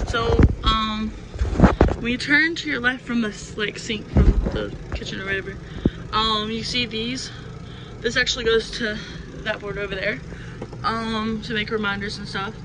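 A young adult woman giving a casual, unscripted home tour inside a camper in a rambling, offhand manner. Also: a little high. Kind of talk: casual, unscripted show-and-tell. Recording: noisy handheld recording, steady background noise.

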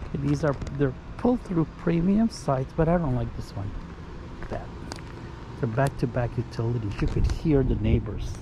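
A man's voice: mid-range voice, normal speed. A middle-aged man giving casual, chatty travel vlog commentary, thinking aloud as he goes. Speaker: travel vlogger. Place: outdoors at campground and beach. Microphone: handheld action camera at arm's length. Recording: wind and engine noise, action camera.

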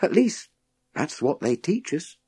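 A man voicing an eager, earnest young boy who speaks in a halting, urgent way. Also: fairly high pitch, very animated, mellow, husky, no room sound, voice pushed up, exaggerated voice. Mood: earnest, thoughtful.

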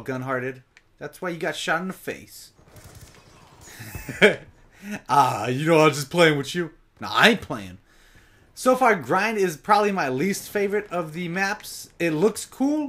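A young man giving casual live commentary over his own gameplay, talking straight to his viewers, his voice a bit raspy from long sessions. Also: fairly high pitch, animated, normal speed, fairly bright tone. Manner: chatty, casual, addresses viewers directly.

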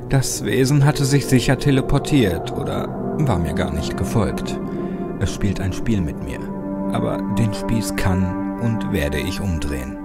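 A man narrating a horror story as a dramatic audiobook, with a suspenseful, measured delivery. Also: fairly low pitch. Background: loud music under the voice.

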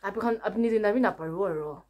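Young female vlogger, mid-pitched voice, casual phone-prank conversation, recorded close on a phone at home. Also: fast, darkish tone. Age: young adult. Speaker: vlogger making prank calls. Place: small room at home, indoors.

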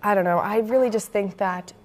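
A young woman with an American accent gives conversational sports commentary as a co-host. Her pitch sits in the middle for a woman, and she talks at a studio desk into a lapel mic. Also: a little fast. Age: young adult, early 20s. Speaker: student sports show co-host. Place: indoor campus TV studio at a desk.

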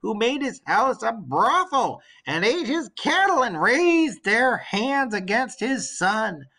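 A man putting on an excited, high-pitched old nursemaid woman. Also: takes it slowly, heavily acted. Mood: excited, joyful. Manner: exclamatory, emphatic.

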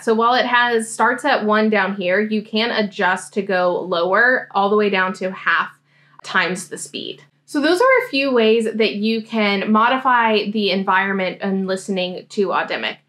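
A young American woman giving a casual software tutorial, explaining things step by step. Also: medium pitch. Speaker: host, academic coach demonstrating software. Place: indoor room, seated at a desk.

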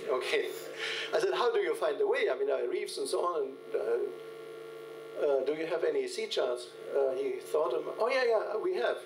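An older man giving a keynote lecture from a podium, telling field anecdotes in a halting way with many fillers and restarts. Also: on the high side, animated. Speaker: keynote speaker. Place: large lecture hall at a podium. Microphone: podium microphone, recorded from the hall. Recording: echoey hall, distant, mains hum.

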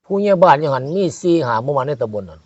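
An older man with an Isan accent telling a comic folk story, animated, acting out a boxing match. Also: fairly high pitch, racing, no room sound. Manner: animated, mimics fights and voices. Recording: muffled lo-fi audio.

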